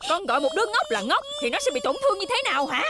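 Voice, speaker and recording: A woman voicing an exasperated, scolding mother in an animated cartoon dub style. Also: very high voice, very fast, heavy background noise.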